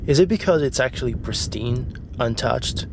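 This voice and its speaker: A man's voice: medium pitch, lively intonation, normal speed, gravelly, background noise. A man reading scripted documentary narration as the film's narrator. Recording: music behind the voice. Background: background music.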